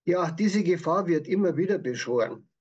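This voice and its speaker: Higher-pitched, husky Bavarian man. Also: animated, normal speed, a little mellow, muffled recording. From Bavaria, Germany. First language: Bavarian dialect. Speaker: author, interview guest. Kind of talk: prepared interview answers, explanatory.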